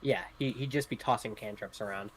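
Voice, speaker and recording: A man playing a tabletop game, casually describing his character's actions in a halting, tentative way. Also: on the low side, slightly rough, poor audio. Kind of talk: casual third-person roleplay description.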